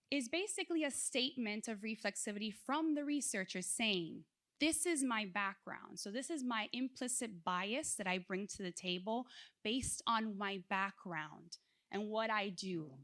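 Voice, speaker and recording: A woman from South Florida giving a motivational conference talk, asking the audience questions as she goes. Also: mid-range voice. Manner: animated, engages audience with questions. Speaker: conference speaker.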